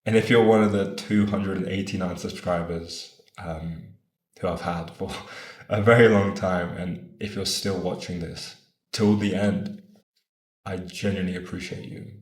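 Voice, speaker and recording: Man in his twenties with a deep voice, earnest and rambling, talking to camera in a roomy space. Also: fairly even intonation, a little slow. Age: 20s. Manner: earnest, rambling, often adds "right?"; casual swearing. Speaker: vlogger. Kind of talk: casual talk to camera. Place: room in Bogota.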